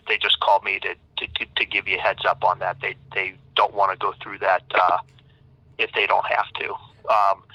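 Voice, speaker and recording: A man's voice: lowish voice, pushed and bright, no echo. A man leaving an informal voicemail as an attorney, stammering and repeating words, recorded over a telephone line. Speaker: public defender attorney.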